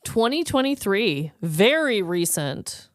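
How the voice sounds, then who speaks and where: A woman's voice: mid-range voice, sing-song, slow pace, dry recording, studio quality. A woman co-hosting a comedy podcast, chatting casually in a playful, slangy, giggly manner. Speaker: podcast co-host.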